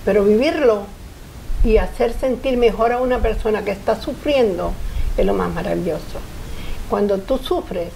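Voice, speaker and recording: Older woman, mellow low-lit tone, earnest and reflective, studio interview, faint rumble. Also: gravelly, rough recording. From Honduras. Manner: earnest, reflective, speaks in short halting phrases. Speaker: interview guest, foundation founder. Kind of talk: personal interview. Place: TV studio set.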